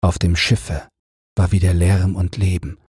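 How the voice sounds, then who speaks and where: A man narrating a bedtime fairy tale as an audiobook, in a calm, gentle and measured manner. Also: low-pitched, breathy edge, no echo, clean studio sound.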